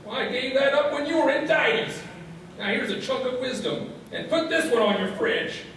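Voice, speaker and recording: Comic radio play: man as folksy, self-important old codger, very high-pitched, grumpy, heard in a very reverberant room. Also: expressive, normal speed, a bit bright, heavily acted. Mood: sagely pompous. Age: older. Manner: folksy, mock-wise pronouncements. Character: cranky old small-town man, self-proclaimed wisest, hard of hearing. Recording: echoey hall, distant mic, faint noise.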